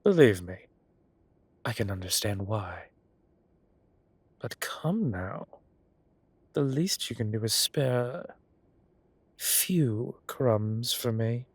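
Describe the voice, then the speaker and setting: A man playing a suave, gossip-loving gentleman boss in an intimate audio roleplay, arch and playfully dramatic, with breathy, drawling delivery. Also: medium pitch, animated, slow pace, long pauses, dry recording, exaggerated voice. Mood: playful prodding. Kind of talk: scripted intimate roleplay acting.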